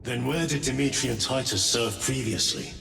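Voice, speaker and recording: An actor playing an earnest, suspicious space marine sergeant in a video game, with a formal, pointed delivery. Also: male voice, medium pitch, moderate pace, creaky, pushed and bright. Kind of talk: dramatic video game cutscene acting. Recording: echoey hall, distant mic, faint music.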